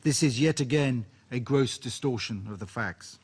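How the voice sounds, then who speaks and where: A man's voice: mid-range voice, normal speed, darkish tone, breathy edge. A man making a formal prepared statement as a diplomat.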